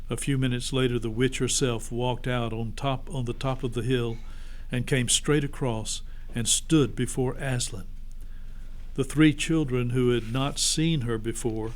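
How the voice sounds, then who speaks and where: A man reading a children's storybook aloud as a narrator. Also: medium pitch.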